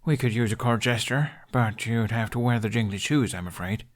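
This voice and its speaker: A man's voice: mid-range voice, a little fast, natural voice. A man voicing an earnest, dryly witty young man in a storybook reading. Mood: wry.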